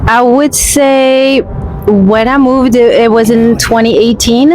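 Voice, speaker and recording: A woman from France with a French accent, a native French speaker answering street interview questions slowly and hesitantly, searching for her words. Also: fairly high pitch, fairly even intonation, darkish tone, a little hiss or hum. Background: music.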